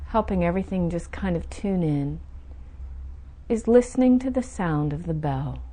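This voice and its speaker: A woman guiding a meditation, giving soothing, gentle instructions with unhurried pauses between phrases. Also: fairly low pitch, animated. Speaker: meditation teacher guiding a session. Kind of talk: guided meditation.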